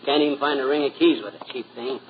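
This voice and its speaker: An actor playing a blustery, slangy, soft-hearted middle-aged husband in a 1940s radio comedy. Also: male voice, mid-range voice, fairly even intonation, fast talker, close to an everyday voice. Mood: weary grumbling. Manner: folksy, grumbling, slangy. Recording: muffled, noisy 1940s radio recording.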